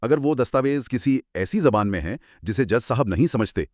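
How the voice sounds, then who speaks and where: A man's voice: mid-range voice, lively intonation, moderate pace, darkish tone, dry recording, studio quality. A man with a Pakistani accent explaining law in an assured, emphatic, conversational teaching style. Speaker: legal explainer on a two-person show.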